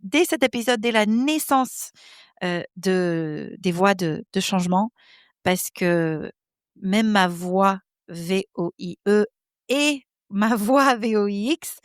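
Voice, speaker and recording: A woman's voice: medium pitch, animated, slow, no room sound, studio quality. A woman in her thirties from the American Midwest, speaking French with an American accent. She hosts her podcast from her home office in a personal, hesitant monologue, with many fillers as she searches for words. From United States, Midwest. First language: English. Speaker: podcast host.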